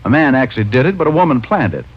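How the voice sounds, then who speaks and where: An actor playing a breezy, wry private detective who both talks and narrates in a 1950s radio mystery. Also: male voice, mid-range voice, sing-song, very fast, breathy edge. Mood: matter-of-fact. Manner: wry, casual, hesitating with uh. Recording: muffled old radio recording with music.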